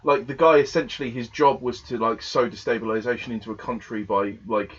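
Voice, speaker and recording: Husky guy podcast cohost, casual n jokey, kinda hesitant, muffled lofi audio. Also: mid-range voice, fairly even intonation, brisk, a bit bright. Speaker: podcast co-host. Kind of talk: casual chat. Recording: dull lo-fi recording.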